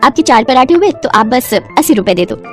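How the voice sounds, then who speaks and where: A woman's voice: high voice, big pitch swings, moderate pace, faint music behind. A woman voicing a kind, humble old widow who sells food from a cart, with homely, affectionate speech in a story. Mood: warm, humble.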